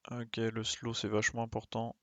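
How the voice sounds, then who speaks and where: A man's voice: mid-range voice, monotone, normal speed, fairly bright tone, dry recording. A man giving casual live game commentary, muttering his reactions to himself. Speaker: game streamer.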